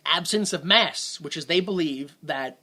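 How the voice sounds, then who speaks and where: A man's voice: fairly high pitch, a little slow, pushed and bright. A man co-hosting a casual movie podcast, rambling with sarcastic asides. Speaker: podcast co-host.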